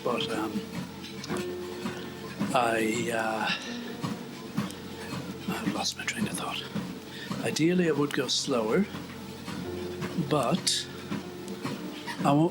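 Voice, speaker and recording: A man's voice: mid-range voice, poor audio. A man rambling to the camera while walking on a treadmill, a bit out of breath, wry and self-mocking. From Ireland. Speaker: vlogger. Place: indoors at home, on a treadmill.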